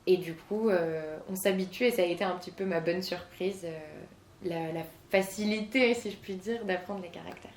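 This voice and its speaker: A woman's voice: fairly low pitch, moderate pace, a little mellow, roomy sound, a little hiss or hum. A woman in her twenties from southern France, answering interview questions as a guest with many hesitations. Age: 20s.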